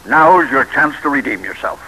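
An actor playing an earnest political ally who presses and persuades urgently, in an old-time radio drama. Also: male voice, mid-range voice, expressive, normal speed, pushed and bright, husky, low-quality recording. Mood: urgent, persuasive.

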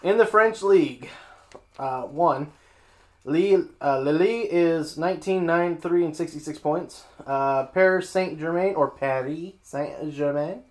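A man's voice: a little high, measured pace. A man hosting a casual sports show, reading out league standings and joking at his own expense. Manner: joking, self-mocking, reading scores.

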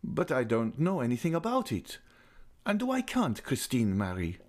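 A guy doing a nervous young nobleman, quiet and unsure, storybook reading. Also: medium pitch, huge pitch swings, moderate pace, pitched above the performer's own voice. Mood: anxious. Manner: hesitant, subdued. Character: anxious young nobleman, confused and lovesick.

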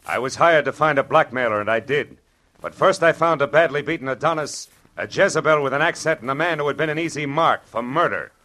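An actor playing a wry, world-weary private detective in clipped 1940s radio style, on an old broadcast recording. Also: male voice, a little high, fairly quick, sharp tone, slight background noise. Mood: tense, punchy. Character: hardboiled male private detective, dryly wisecracking narrator.

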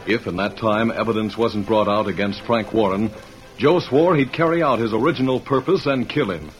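A man's voice: on the low side, moderate pace, faint music behind, rough recording. A man narrating an old radio western in a formal, dramatic announcer style.

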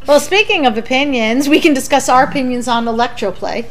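Teasing woman co-host, slightly high voice. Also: brisk, slight background noise. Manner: dry, teasing quips. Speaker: podcast co-host. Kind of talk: casual podcast chat.

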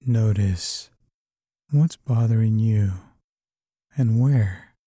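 A man narrating a guided sleep meditation in a calm, unhurried, soothing manner, giving gentle instructions. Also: fairly low pitch, breathy edge, no room sound, clean studio sound.

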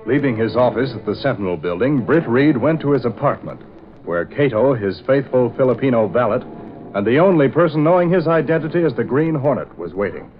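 A man's voice: medium pitch, expressive, a little fast. Man, 1940s radio narrator, scripted delivery, muffled recording, music behind. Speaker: narrator, announcer.

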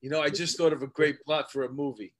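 A man in his fifties with a slightly raised pitch, rambling and cracking jokes as a co-host. He speaks casually over a video call. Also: fairly even intonation, fast talker, a bit bright. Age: 50s. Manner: joking, rambling. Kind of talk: casual conversation.

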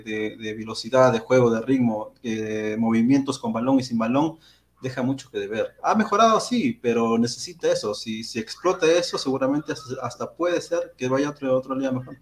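A Peruvian man co-hosting a football podcast, giving animated opinions with many fillers. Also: mid-range voice. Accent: Peruvian Spanish. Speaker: podcast co-host. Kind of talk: casual football talk.

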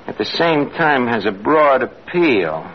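An actor playing a boastful, ignorant tavern manager in a radio comedy, with a New York accent and mangled grammar. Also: male voice, medium pitch, sing-song, slow. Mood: self-important. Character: brash, ignorant, self-important tavern manager, a man. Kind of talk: 1940s radio comedy acting. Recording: old noisy radio recording, muffled, audience crowd.

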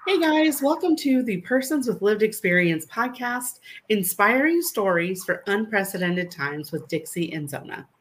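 A woman co-host of a podcast, speaking in a calm, measured way. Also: mid-range voice, faint music behind, rough recording. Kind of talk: podcast hosting.

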